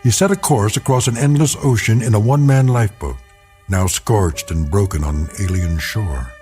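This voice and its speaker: An actor as a solemn, ominous narrator giving measured, portentous scripted narration for an eerie sci-fi radio drama. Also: male voice, fairly low pitch, a little mellow, soft background music, close to an everyday voice.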